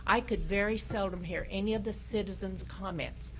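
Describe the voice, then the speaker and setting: A woman's voice: medium pitch, normal speed, fairly bright tone. A woman, a local resident, speaking politely and directly into a podium microphone at a council meeting. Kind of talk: public comment at a meeting. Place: city council chamber. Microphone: podium microphone on a stand. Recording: noisy council meeting recording.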